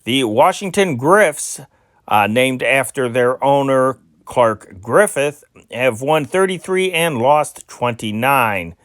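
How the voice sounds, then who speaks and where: A man hosting an amateur reading of vintage newspaper news and listings, with a halting delivery that stumbles over names. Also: mid-range voice, animated, fairly bright tone, no echo, clean studio sound.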